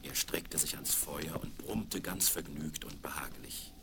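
A man's voice: a bass voice, measured pace. A man narrating a scripted fairy tale for children as storyteller, on a crackly 1970s vinyl record.

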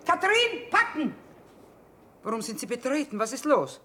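Tough, animated wartime trader mother. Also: high-pitched, moderate pace, slight background noise, exaggerated voice. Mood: urgent, then suspicious. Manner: commanding, no-nonsense. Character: tough, brisk mother trading from her wagon in wartime. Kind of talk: German radio play acting.

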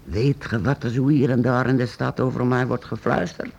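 An actor playing a secretive, eccentric master painter-inventor in a radio play, hesitant and halting, then urgent. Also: male voice, medium pitch, fairly even intonation, vocal fry, a little mellow, husky, lo-fi sound. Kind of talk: radio drama acting.

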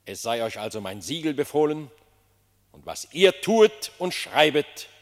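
An actor playing a gracious, commanding lion king in a German radio play, speaking in a stately, measured way. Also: on the high side, sing-song, in a large hall. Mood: regal.

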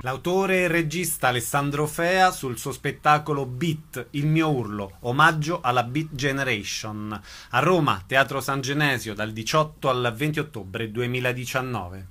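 Formal male radio announcer, medium pitch. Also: normal speed, bright, forceful tone, soft background music. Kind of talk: scripted programme announcement.

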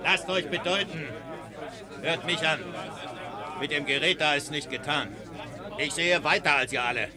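A man's voice: fairly high pitch, moderate pace, long pauses, over a noisy crowd, lightly acted. An actor playing a shrewd veteran soldier who lectures his comrades in rhyming verse in a radio play. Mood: lecturing. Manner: lecturing, persuasive.

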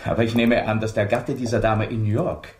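An actor in a German radio drama playing a courteous, matter-of-fact detective with a formal, measured manner. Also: male voice, on the low side, level tone, a little mellow, reverberant, natural voice. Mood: assured.

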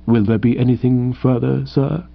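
A man voicing a composed, deferential male valet who speaks in a measured, formal, deadpan way. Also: medium pitch, creaky. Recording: slightly dull lo-fi recording.

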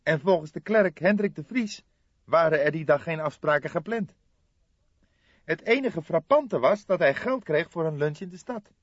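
A man reading an audiobook, voicing an excitable young police detective who questions eagerly and indignantly. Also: fairly high pitch, normal speed, husky, dry recording, dull audio, voice pushed up. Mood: eager, thoughtful.

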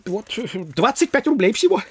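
An actor playing a fussy, timidly deferential provincial landowner in an audio comedy, with a husky voice. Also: male voice, very high voice, sing-song, normal speed, heavily acted. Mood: stiff, apologetic. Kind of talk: theatrical audio play comedy acting.

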